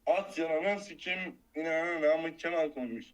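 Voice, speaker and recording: A man's voice: a little high, normal speed, low-quality recording. A man, a stranger on a video chat, talking in a pushy, coarse and casual way.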